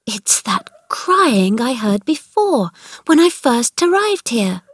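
A determined young storybook girl, acted by a woman reader, speaks high and clearly forthright. She is bold and full of questions, with an unhurried delivery in a dry, close sound. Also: big pitch swings, dry recording, full character voice. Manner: forthright, inquisitive. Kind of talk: children's storybook character voice.

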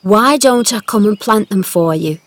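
Woman doing a cheerful gentle country boy. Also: mid-range voice, animated, measured pace, no room sound, natural voice. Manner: warm and gentle.

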